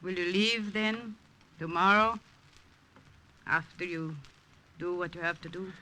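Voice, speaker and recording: A woman's voice: on the low side, unhurried, bright, forceful tone, rough recording. A woman playing a fond, fussing elderly Italian village nana with an Italian accent in an old radio drama. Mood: tender, anxious. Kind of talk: 1950s radio drama acting. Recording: old radio recording, clicks.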